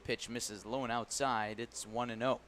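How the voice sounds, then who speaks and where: A man's voice: mid-range voice, flat delivery, normal speed, a bit bright, a little hiss or hum. An American man giving live radio play-by-play of a baseball game as the announcer. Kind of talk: live radio sports commentary.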